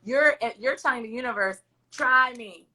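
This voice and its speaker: A woman hosting a podcast, talking loudly and emphatically in an upbeat, encouraging way, with a raspy voice. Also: fairly high pitch, normal speed. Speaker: podcast host. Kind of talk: encouraging casual interview chat.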